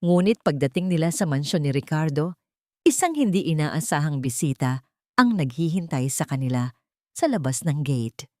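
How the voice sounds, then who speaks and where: A Filipino-accented woman narrates a scripted drama. Her voice sits a little low and moves in lively swings, with a theatrical storyteller's delivery. The recording is very dry. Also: moderate pace, no echo. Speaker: audiobook narrator.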